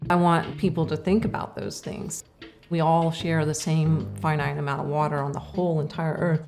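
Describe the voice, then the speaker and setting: A middle-aged woman, an artist, talking in a casual interview, reflective and halting with many fillers and restarts. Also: low voice, flat delivery, a little mellow, faint music behind.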